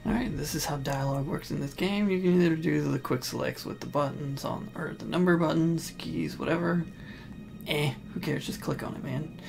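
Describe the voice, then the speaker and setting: A man's voice: on the high side, moderate pace, gravelly, darkish tone, soft background music. A man hosting a casual let's play, commenting in an offhand, flippant way. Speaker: gaming host. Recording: noisy voice-over mixed with game sound. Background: video game sound.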